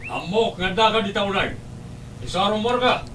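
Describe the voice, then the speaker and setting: An actor playing a menacing man who makes loud demands in an Ilocano audio drama. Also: sits high, normal speed, slight background noise, low-quality recording. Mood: menacing, demanding. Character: menacing man threatening a mother at her door.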